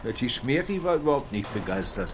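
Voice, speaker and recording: A man's voice: mid-range voice, sing-song, brisk, music in the background, lightly acted. An actor playing a prickly, suspicious veteran employee, curt and defensive with his boss in a radio drama.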